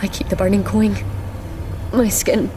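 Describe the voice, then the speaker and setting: An actress in an audio drama playing a defiant, scheming young goddess, haughty and intense. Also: female voice, mid-range voice, flat delivery, unhurried, noisy background, lightly acted. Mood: agonised, strained. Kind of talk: audio drama acting with first-person narration.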